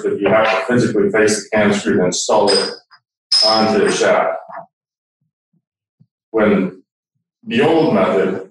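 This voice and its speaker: A man's voice: lowish voice, background noise. A man giving a conversational, hands-on technical demonstration, recorded in an echoey room. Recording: echoey room, distant microphone.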